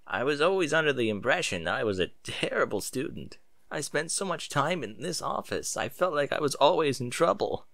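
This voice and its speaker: A man's voice: on the high side, expressive, brisk, vocal fry, a bit bright, dry recording. Man as an anxious, self-doubting young pony student. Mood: anxious, confused. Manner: wordy, panicky, downcast.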